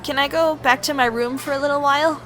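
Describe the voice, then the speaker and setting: Timid, tired skeleton lad for audio drama, played by a woman: high-pitched, bright, hesitant, polite. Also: steady tone, fast talker. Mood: timid, weary.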